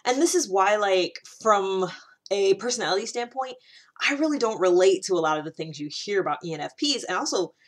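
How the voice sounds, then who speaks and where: A woman's voice: mid-range voice, animated, brisk. A woman hosting a series as a casual solo explainer, talking informally and often breaking off into asides. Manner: informal, rambling and self-interrupting, with frequent asides. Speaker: host of a personality-typing series.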